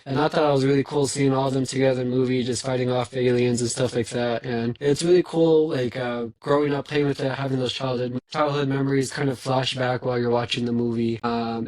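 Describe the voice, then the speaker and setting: Young guy, mid pitched voice, earnest casual chat, repeats himself a bit. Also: little pitch movement, brisk, hardly any pauses, a little mellow, poor audio. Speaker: podcast guest.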